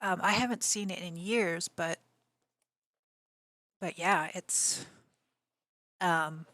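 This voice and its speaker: A woman streamer with a slightly raspy voice, chatting casually and answering her viewers. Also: fairly low pitch, slow, fairly bright tone, no room sound. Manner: rambling, chatty, answers chat messages aloud. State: allergies. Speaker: game streamer.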